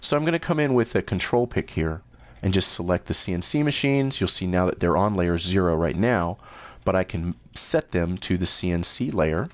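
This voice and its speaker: A man walking through new software features in a steady, explanatory screen-recorded demo. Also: low-pitched, moderate pace, no echo. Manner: steady, explanatory, first-person demo narration. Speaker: software demonstrator.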